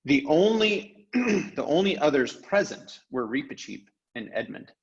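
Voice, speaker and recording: A man's voice: a little high, big pitch swings, measured pace, vocal fry, muffled recording. A man speaking as a teacher, giving class announcements and reading a storybook aloud.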